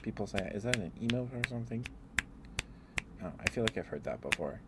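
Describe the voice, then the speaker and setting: A soft-spoken man hosting an ASMR live stream, chatting informally and rambling casually to his listeners. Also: lowish voice, animated, a little fast, very noisy.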